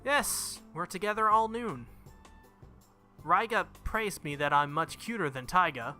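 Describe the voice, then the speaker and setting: A man's voice: sits high, animated, unhurried, sharp tone, faint music behind, exaggerated voice. A man voicing a cheeky, mean and confident little girl from a visual novel, taunting in a matter-of-fact way. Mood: smug, taunting. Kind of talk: visual novel character reading.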